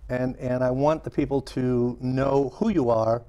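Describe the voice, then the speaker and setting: A man hosting a casual interview show, eager and chatty, often pausing with fillers and starting sentences again. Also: medium pitch, fairly even intonation, dark tone. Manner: halting, many fillers and restarts; enthusiastic, chuckles often. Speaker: talk show host.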